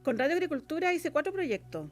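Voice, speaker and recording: A woman's voice: sits high, very animated, fast, faint music behind. A Chilean woman answering as an interview guest, explaining casually in hesitant, circling phrases. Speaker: radio drama scriptwriter, interview guest.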